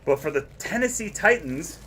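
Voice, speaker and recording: A man's voice: fairly high pitch, animated, moderate pace, fairly bright tone. A man hosting a live memorabilia break, upbeat and casual, reading chat comments aloud. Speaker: host of memorabilia box-break stream.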